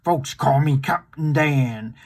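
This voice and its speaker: A man's voice: fairly high pitch, slow pace. A man voicing a wistful, plain-spoken old retired sailor with a folksy seafaring way of talking, for a storybook.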